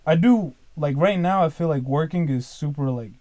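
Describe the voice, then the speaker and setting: Mellow-voiced young man, rambling casually. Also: fairly high pitch, moderate pace, no room sound. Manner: rambling, leans heavily on "like" and "dude". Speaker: podcast guest, business owner. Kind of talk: casual podcast chat.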